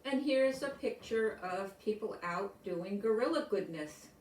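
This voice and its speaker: An older woman with an American accent reading a children's story aloud, deliberately and explaining things as if to young listeners. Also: mid-range voice, lively intonation, a little mellow, a little hiss or hum. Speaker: storybook reader. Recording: roomy echo, distant from microphone.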